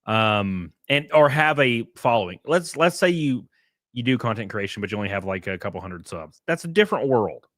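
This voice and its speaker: Man, casual stream host, lively intonation, rambling, blunt slangy phrasing, dry sound. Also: medium pitch, normal speed, no room sound. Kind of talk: casual off-the-cuff commentary.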